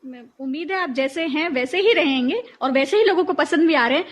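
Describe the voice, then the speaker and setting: A woman's voice: sits high, lively intonation, a little fast. A woman hosting a conversational radio interview, putting questions and comments to her guest. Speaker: interviewer, host.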